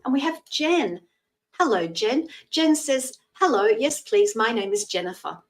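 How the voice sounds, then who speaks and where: A Scottish woman in her sixties giving a live tarot reading, chatting in a gentle, reassuring way and using terms of endearment. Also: fairly high pitch, animated, unhurried, recorded from a distance. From Scotland. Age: 60s. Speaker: psychic tarot reader.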